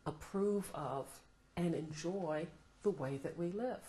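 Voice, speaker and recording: Middle-aged American woman, low voice, thoughtful interviewee; roomy echo, light hiss. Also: warm tone. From United States. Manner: thoughtful, measured, laughs often. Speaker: law professor, interviewee. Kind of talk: reflective interview answers. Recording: roomy echo, faint noise.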